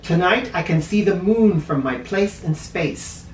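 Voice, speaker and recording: A man reading a picture book aloud to children as host, deliberate and explanatory, with informal teaching asides. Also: high-pitched, lively intonation. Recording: roomy echo, distant mic, faint noise.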